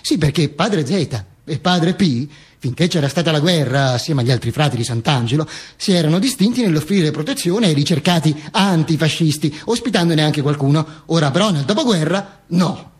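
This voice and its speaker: Man acting a high-pitched, theatrical dead dictator. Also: lively intonation, natural voice. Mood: urgent narration. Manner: emphatic, obsessively repetitive, deliberate pauses. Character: dead Mussolini telling his posthumous autobiography, theatrical and ironic. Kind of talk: dramatic monologue storytelling, radio theatre.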